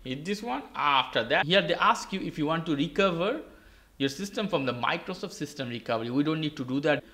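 A man with a fairly high, very bright and forceful voice walks through a how-to as a tutorial host. He uses short, repeated instructions in non-native English phrasing. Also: lively intonation, fairly quick. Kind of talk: step-by-step how-to narration. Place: indoors at a desk with a laptop.

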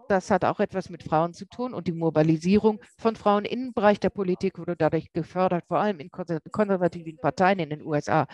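A woman interpreting a lecture live, speaking haltingly and often correcting herself mid-word. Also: mid-range voice, a little mellow. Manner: halting, with frequent self-corrections. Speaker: simultaneous interpreter.

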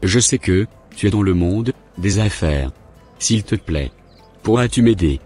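A wayward young cartoon son voiced by a man talks earnestly and deliberately. The voice is fairly low and husky, with soft music underneath. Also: lively intonation, exaggerated voice. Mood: hopeful. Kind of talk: scripted cartoon dialogue.

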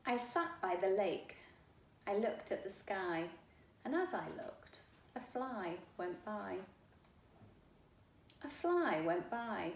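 A middle-aged woman reading a children's book aloud in an expressive, deliberate, rhythmic way. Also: mid-range voice, recorded from a distance. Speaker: storyteller. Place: living room at home. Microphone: camera a few feet away. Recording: echoey room, faint background noise.

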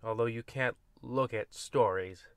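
A man's voice: mid-range voice, measured pace, clean tone, no echo, lightly acted. Mock-ominous horror anthology narrator, male actor, wry and wordy, mid-range, dry sound. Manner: droll, mock-ominous, rambling. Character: wry, macabre male host of horror radio tales. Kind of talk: old-time radio anthology narration.

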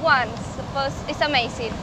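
High-voiced Chilean woman, halting English. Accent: Chilean Spanish. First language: Spanish. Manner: simple halting English, friendly. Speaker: interviewed solo traveler. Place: outdoors in a large city square. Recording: outdoor street noise behind.

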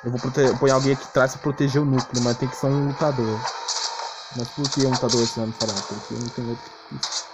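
A man's voice: mid-range voice, fairly even intonation, fast, rough recording. A man with a Brazilian Portuguese accent giving casual live game commentary in a slangy, mildly grumbling manner. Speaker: gaming streamer. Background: game music.

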